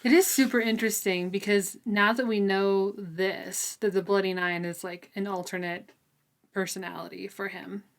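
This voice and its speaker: A woman co-hosting a relaxed, conversational book discussion. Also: mid-range voice, moderate pace. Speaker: book podcast co-host.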